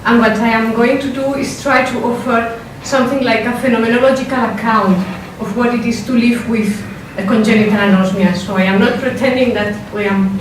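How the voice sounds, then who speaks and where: A woman philosopher with a strong Spanish accent giving a workshop talk, careful and measured, with hesitant fillers when she speaks off the script. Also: on the high side. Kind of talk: academic lecture, partly read from a script. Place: lecture room. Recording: echoey lecture hall, mic from a distance, noisy.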